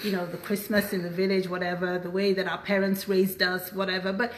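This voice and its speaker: A woman hosting a podcast in casual conversation, enthusiastic and quick to affirm with short interjections. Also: lowish voice, level tone. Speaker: podcast host. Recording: roomy, echoey sound.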